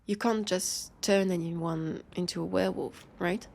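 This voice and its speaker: A woman playing a teasing, haughty vampire detective with a French accent, in an intimate roleplay audio drama, her dry playful lines spaced with lingering pauses. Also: fairly low pitch, fairly even intonation, a little mellow, slight background noise. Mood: curious, conversational. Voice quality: husky, breathy with creaky edges. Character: teasing, haughty female vampire detective, sardonic new partner.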